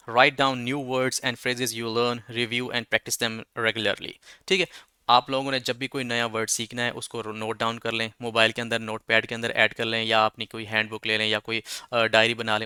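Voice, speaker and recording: Bright, crisp male teacher. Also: medium pitch, dry recording, clean studio sound. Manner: conversational, repeats filler checks. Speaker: English teacher. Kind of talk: explaining lesson, mixing Urdu and English.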